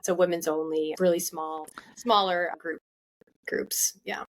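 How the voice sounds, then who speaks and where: A woman's voice: lowish voice, steady tone, unhurried, slight background noise. A woman who is a guest on a podcast, talking in an upbeat, chatty way and laughing easily. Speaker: podcast guest, founder of a support group. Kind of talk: casual podcast interview.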